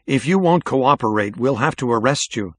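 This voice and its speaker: A man's voice: mid-range voice, animated, moderate pace, a bit bright, no echo. A man voicing a stern police officer in an audiobook, firm and official in his warnings.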